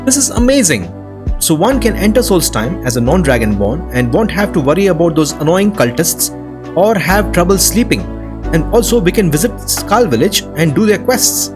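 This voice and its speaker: Higher-pitched enthusiastic man narrating gameplay. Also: very animated, normal speed. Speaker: video host. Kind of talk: casual scripted gameplay narration. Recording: music behind the voice. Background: background music.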